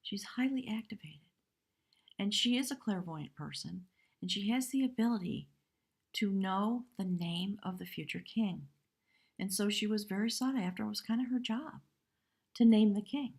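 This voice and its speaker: A woman with a hoarse voice giving a live spiritual tarot reading in measured, reverent short phrases. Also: mid-range voice, darkish tone. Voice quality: husky, breathy. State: hoarse from much talking. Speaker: tarot reader, live stream host.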